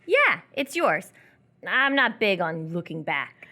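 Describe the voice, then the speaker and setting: A woman playing a coy, lonely young captive in live radio theatre, playful and evasive, with hesitant pauses. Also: mid-range voice, big pitch swings, sharp tone, exaggerated voice. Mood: coy, knowing.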